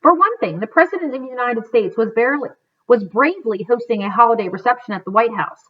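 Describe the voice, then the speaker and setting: A woman's voice: fairly high pitch, lively intonation, fast talker. Middle-aged woman reading aloud, dull lo-fi sound. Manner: careful reading with stumbles and self-corrections. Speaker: teacher reading a book aloud to students. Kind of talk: read-aloud of a history book. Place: living room at home, indoors. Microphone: computer webcam microphone at desk distance.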